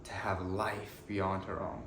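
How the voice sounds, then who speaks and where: An actor playing an earnest, anguished defense lawyer pleading to a jury, delivering a deliberate, emotional courtroom monologue with weighted pauses. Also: male voice, low voice, fairly even intonation, gravelly, heavily acted. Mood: grim, anguished. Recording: echoey room with faint background noise.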